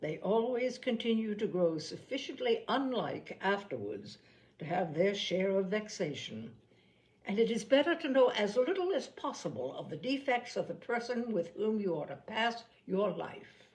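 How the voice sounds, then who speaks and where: Woman playing pragmatic, animated Regency gentlewoman. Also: medium pitch, natural voice, poor audio. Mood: firm, philosophical. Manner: opinionated, formal, measured phrasing. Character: pragmatic, worldly young Regency gentlewoman advising a friend.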